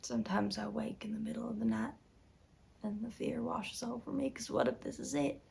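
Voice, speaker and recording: A woman's voice: a little high, steady tone, a little slow, gravelly, a bit bright. A woman acting a desperate, tormented young farm wife in an intense dramatic film monologue. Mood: hushed, fragile. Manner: intense, swinging from whispers to anguished outbursts. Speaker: acting student.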